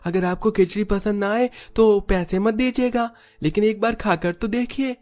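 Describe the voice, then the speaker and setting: A man's voice: sits high, fairly even intonation, normal speed, darkish tone, faint music behind, voice pushed up, lightly acted. A man voicing an eager, pleading young boy in a cartoon story. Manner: pleading, insistent.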